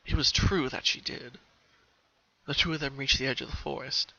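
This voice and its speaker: A man's voice: medium pitch, fairly even intonation, normal speed, bright, forceful tone. A man reading a story aloud as a dramatic, scripted narration, on a slightly lo-fi home recording.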